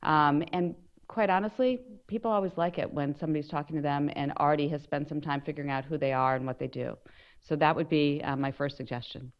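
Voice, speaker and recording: Woman with a big loud voice giving straight advice, low-ish pitch, dull recording. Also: fairly even intonation, fairly quick. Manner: loud, direct, conversational. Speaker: panelist, former federal prosecutor. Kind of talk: panel talk, giving advice. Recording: dull lo-fi sound.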